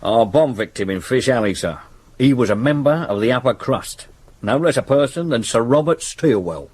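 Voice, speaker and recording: An actor playing a dry, deferential Victorian police sergeant with an English accent in a radio drama. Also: male voice, medium pitch, expressive, normal speed, a little mellow, breathy edge, no room sound. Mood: reporting. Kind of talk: 1970s radio drama acting. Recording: slightly noisy vintage radio recording.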